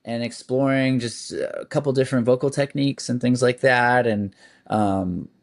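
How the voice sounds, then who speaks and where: A man of middle pitch talks in a loose, easygoing way, a singer chatting as a podcast guest. He rambles, circling back with fillers as he works out his thoughts. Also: moderate pace, gravelly. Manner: relaxed and rambling, with frequent "like" and "um". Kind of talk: casual interview chat.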